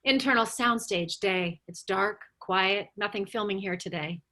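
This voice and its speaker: A woman reading screenplay stage directions aloud as the narrator of a table read, with animated storytelling. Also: mid-range voice, unhurried.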